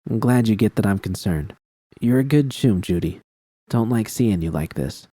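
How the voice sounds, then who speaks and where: A man's voice: lowish voice, expressive, normal speed, warm tone, dry recording. A man voicing a tough, protective woman friend in an audiobook, blunt and streetwise. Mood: sympathetic. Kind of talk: audiobook character dialogue.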